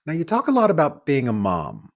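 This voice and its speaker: A man's voice: on the high side, huge pitch swings, a little fast, creaky. A man in his forties hosting an interview podcast, earnest and encouraging. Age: 40s. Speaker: podcast host. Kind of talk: conversational interview.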